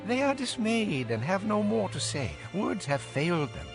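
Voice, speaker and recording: A man's voice: high-pitched, sing-song, moderate pace, gravelly, heavily acted. An actor playing an angry, impassioned young man lecturing his elders emphatically in a dramatized audio Bible. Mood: impatient, bursting. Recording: music behind.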